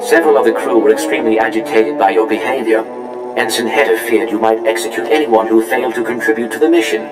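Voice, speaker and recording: A man's voice: fairly low pitch, flat delivery, fast talker. A man voicing an unflappable, servile protocol droid in a video game, speaking formally and courteously through a robotic filter. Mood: unruffled. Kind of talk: scripted video game dialogue. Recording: echoey, music underneath. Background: game music.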